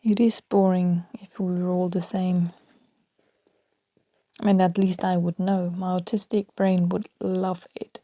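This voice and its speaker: A woman with a Danish accent rambling freely on her solo podcast, talking softly and often dropping to a half-whisper, with small laughs between thoughts. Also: fairly low pitch, little pitch movement, measured pace, no room sound. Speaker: podcast host.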